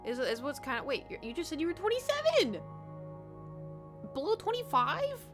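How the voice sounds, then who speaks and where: A woman's voice: very high-pitched, wildly swooping pitch, moderate pace. A woman from the United States, a gaming streamer rambling in casual chat and answering her chat. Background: background music.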